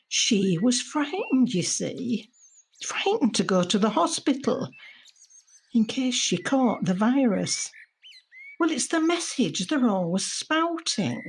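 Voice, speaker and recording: An actress playing a lonely, anxious woman in her seventies in an intimate audio drama monologue, husky and confiding, often putting questions to herself. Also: on the high side, heavily acted. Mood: worried, indignant. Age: 70s. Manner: reflective, confiding, with rhetorical questions. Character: lonely, anxious elderly mother shielding at home in lockdown.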